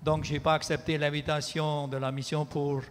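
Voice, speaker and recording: A man interpreting a sermon in a church, measured and sometimes hesitant. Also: on the high side, little pitch movement, in a large hall. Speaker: pastor and interpreter. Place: church hall.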